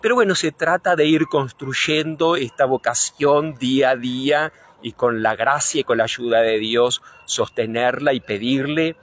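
A man's voice: medium pitch, a little slow, hardly any pauses. A middle-aged Argentine man, a priest being interviewed, speaking reflectively and earnestly, often searching for his words. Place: outdoors in front of a church. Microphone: handheld reporter microphone.

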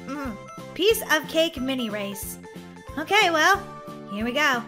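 Casting: woman game-video host, excitable and giggly, very animated swooping delivery, music underneath. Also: high voice, slow. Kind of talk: playful gameplay commentary.

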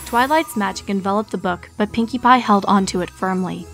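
Female storyteller, medium pitch, scripted narration, light music bed underneath. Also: a little fast, creaky, fairly bright tone. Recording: music behind the voice.

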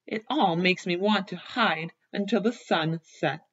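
A woman reading as a self-conscious male giraffe who complains gloomily about his long neck, with a slightly husky voice. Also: fairly low pitch, measured pace, lo-fi sound. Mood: glum.

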